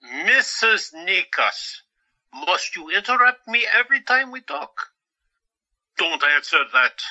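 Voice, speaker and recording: A pompous, long-suffering hospital boss in his fifties for an audio drama. The voice is high and swoops dramatically, with slow, scolding delivery. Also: very animated, bright, forceful tone, lo-fi sound, heavily acted. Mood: indignant. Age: 50s. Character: exasperated, pompous fifty-year-old male doctor and hospital boss.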